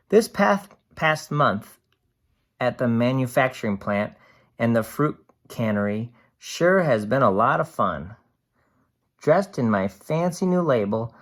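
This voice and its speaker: A reader voicing a cheerful, excitable aluminum can in a storybook diary, bubbly and expressive. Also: mid-range voice. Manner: bubbly, wide-eyed, expressive storybook delivery.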